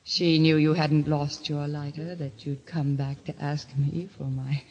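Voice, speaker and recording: Domineering mistress, muffled and reverberant. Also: female voice, low-pitched, little pitch movement, measured pace, a little mellow. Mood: seductive. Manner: arch, seductive, commanding. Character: sophisticated, domineering mistress and painter, coolly murderous. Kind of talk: old-time radio mystery drama acting. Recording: muffled, reverberant vintage radio recording.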